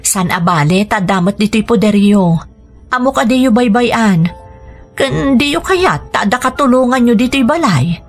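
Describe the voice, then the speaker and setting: A woman's voice: fairly high pitch, expressive, measured pace. An actress with an Ilocano Filipino accent playing a burdened, resigned mother in a radio drama, plaintive and apologetic. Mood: apologetic, firm. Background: music.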